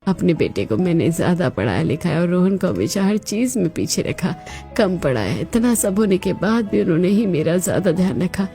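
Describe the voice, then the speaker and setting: A woman's voice: fairly low pitch, animated, moderate pace, warm tone, faint music behind. A woman voicing an older, remorseful Indian mother-in-law in an earnest, melodramatic cartoon family drama. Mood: regretful.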